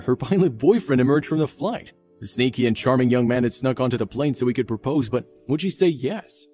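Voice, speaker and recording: A male narrator delivers a scripted viral story at a brisk clip. His tone is mellow and soft-edged, sitting at a medium pitch, with faint music behind him. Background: faint background music.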